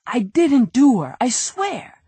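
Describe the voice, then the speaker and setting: A woman voicing a nervous, whining small-time male crook who pleads in rough grammar. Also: on the high side, big pitch swings, slow pace, dark tone, dry recording, voice pushed up, heavily acted. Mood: whiny pleading.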